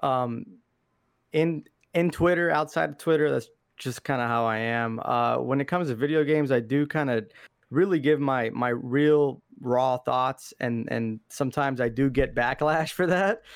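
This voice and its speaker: A young man from Iraq chatting as a podcast guest, easygoing and slangy, dropping "man" and "dude" into most sentences. His voice is mid-pitched and recorded close and dry. He talks casually with frequent fillers. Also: unhurried, clear, resonant tone, no room sound. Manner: laid-back, slangy, says "man" and "dude" often. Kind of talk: casual interview chat.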